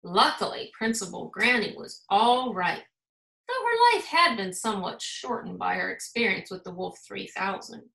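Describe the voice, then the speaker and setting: A woman's voice: medium pitch, sing-song, moderate pace, creaky, pushed and bright. A woman reading a children's storybook aloud expressively, like a school principal addressing young listeners. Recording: roomy echo.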